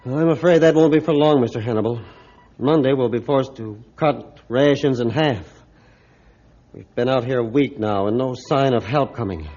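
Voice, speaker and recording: A man playing a grim, realistic ship's captain in a formal, deliberate, old-time radio drama style. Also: mid-range voice, a little mellow, lightly acted. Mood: stern, resolved. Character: grim, realistic male captain of an icebound ship. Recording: dull, band-limited old radio recording.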